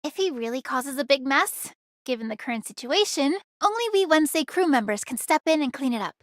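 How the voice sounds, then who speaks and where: A young female space pirate from an anime game. Her voice sits quite high, and she speaks frankly and briskly, like someone used to getting things done. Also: lively intonation, dry recording, clean studio sound. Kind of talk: scripted anime game dialogue.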